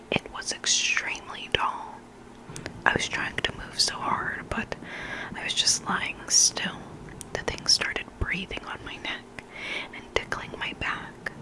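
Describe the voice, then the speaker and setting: A person whispering scary stories in an ASMR reading style, close to the microphone, sometimes repeating words. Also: poor audio. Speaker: ASMR reader of listener stories. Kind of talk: whispered ASMR storytelling, reading creepy anecdotes.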